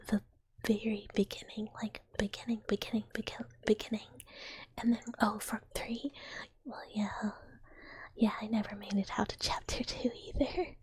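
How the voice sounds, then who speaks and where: A woman's voice: fairly high pitch, darkish tone. A woman streamer whispering casual, giggly, rambling chat to her viewers. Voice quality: whispering, very breathy. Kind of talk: whispered ASMR chat while reading viewer comments.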